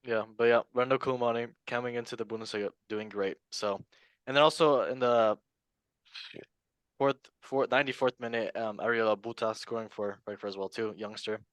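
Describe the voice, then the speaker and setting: A man's voice: mid-range voice, brisk, clear, resonant tone, no echo, poor audio. A man hosting a casual football podcast, joking about himself and reading out league tables. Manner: jokey, self-mocking, animated. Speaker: podcast host. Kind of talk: casual sports chat.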